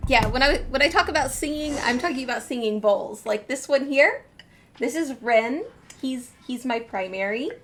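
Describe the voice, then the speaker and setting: A woman's voice: sits high, expressive, moderate pace, a bit bright, slight background noise. A woman chatting casually and enthusiastically as she comments on a game during a live stream. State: getting over a cold.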